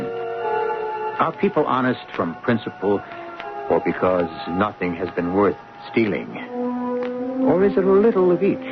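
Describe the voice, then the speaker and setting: A lowish-voiced man narrates a radio mystery as its host. His delivery is theatrical and wry, with big swoops in pitch and rhetorical turns, on a slightly dull broadcast recording with music.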